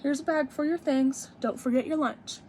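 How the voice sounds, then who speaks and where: A woman's voice: high voice, moderate pace, a little mellow, a little hiss or hum, voice pushed up, close to an everyday voice. A woman voicing a caring, helpful mother in a children's picture book, with a reassuring manner.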